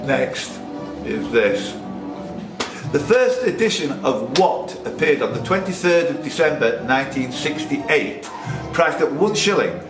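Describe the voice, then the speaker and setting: Animated male quizmaster in an echoey room. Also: medium pitch, distant mic. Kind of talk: reading quiz questions with casual banter. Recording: echoey room, off-mic, music behind.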